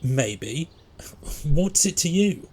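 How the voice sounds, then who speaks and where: A man's voice: a little high, sing-song, extremely slow, darkish tone, slightly rough, full character voice. A man playing a defiant, rattled teenage vandal in an audio drama. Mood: defensive.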